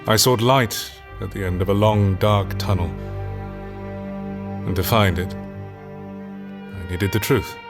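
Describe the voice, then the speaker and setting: An actor playing a solemn, weary scholar and historian, speaking a formal, reflective monologue in a fiction podcast. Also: male voice, on the low side, steady tone, slow, long pauses. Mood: hesitant. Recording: voice under prominent music.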